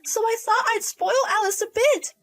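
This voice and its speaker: A woman's voice: very high-pitched, moderate pace, a bit bright, soft background music, pitched above the performer's own voice. A woman voicing a selfish, irresponsible young single mother in a story drama, wheedling and petulant. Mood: airy, defensive. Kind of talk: acted dialogue in a narrated drama story.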